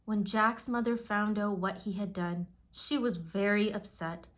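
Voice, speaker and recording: Female storybook narrator, mid-pitched, unhurried, expressive scripted read-aloud for young children.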